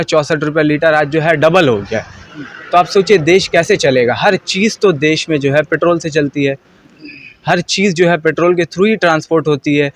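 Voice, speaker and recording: Earnest Indian man, slightly high voice. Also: no echo. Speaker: street interviewee. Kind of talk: informal political opinion interview.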